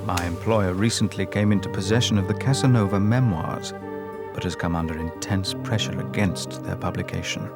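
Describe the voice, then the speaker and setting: An actor playing a thoughtful investigator, narrating a dramatised documentary in a measured, reflective, formal way. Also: male voice, low-pitched, vocal fry. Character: thoughtful male investigator narrating his research into old memoirs. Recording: music under the voice.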